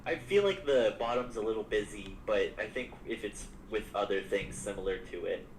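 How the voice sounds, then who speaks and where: A man streaming a modded game, chatting casually and jokingly with a friend. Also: moderate pace. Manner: chatty, animated, jokey squeaks. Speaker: Minecraft modpack streamer. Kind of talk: casual gaming chat. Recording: echoey, distant mic with clear background noise.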